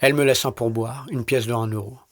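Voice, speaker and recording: A man narrates an audio short story in the first person, recorded in a studio. His voice sits at a medium pitch, and he tells it in a deadpan, colloquial way. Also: fast.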